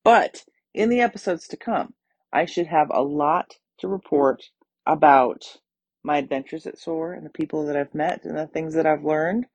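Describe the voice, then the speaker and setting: A tired woman with a hoarse, scratchy voice, hosting her own podcast in a chatty, upbeat way. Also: sits low, moderate pace, no room sound. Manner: chatty, upbeat, with small laughs. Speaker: podcast host. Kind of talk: casual solo podcast talk.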